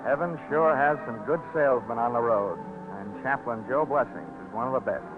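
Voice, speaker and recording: A man's voice: mid-range voice, moderate pace, lightly acted. A man playing a wisecracking hard-boiled investigator who narrates his case drily in a 1940s radio drama. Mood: brisk narrating. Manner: dry, wry wisecracks. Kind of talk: 1940s radio drama acting, first-person noir narration. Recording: phone-like 1940s radio recording with music.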